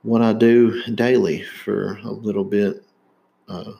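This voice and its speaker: A man's voice: fairly low pitch, flat delivery, takes it slowly, vocal fry, warm tone, muffled recording. A man in his thirties from Indiana with a Southern accent, hosting a podcast in casual, rambling chat. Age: 30s. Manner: rambling, many fillers and restarts. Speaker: podcast host.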